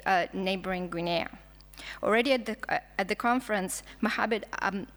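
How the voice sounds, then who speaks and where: A Russian-accented woman delivers a scholarly conference talk at a middling pitch, tripping over words and restarting often. Her voice rings out with the long reverb of a lecture hall. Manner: halting, frequent restarts and fillers. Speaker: film historian lecturer. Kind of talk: academic conference talk, read from notes.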